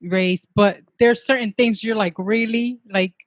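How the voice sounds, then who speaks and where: A woman's voice: mid-range voice, steady tone, a little slow, no room sound, studio quality. A woman hosting a podcast, chatty and agreeable, backing up her guest. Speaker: podcast host. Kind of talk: casual interview chat.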